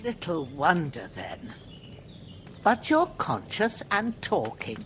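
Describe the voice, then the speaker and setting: An older healer from a village cottage, gruff but motherly. She speaks slowly, in homely rustic phrases, her voice rising and falling a lot. Quiet sounds of the land outside sit behind her. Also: deep voice, big pitch swings, slight background noise, heavily acted. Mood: caring. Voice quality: folksy, scolding, plain rustic speech. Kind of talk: video game dialogue.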